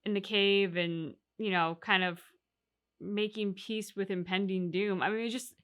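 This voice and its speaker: A woman with a clearly bright, forward voice sits at a middle pitch. She co-hosts a reaction show and talks casually, in a reflective way, unpacking each point with examples. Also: fairly even intonation, unhurried. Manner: reflective, explains at length with examples. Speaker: reaction channel co-host. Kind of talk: casual discussion of a video game story.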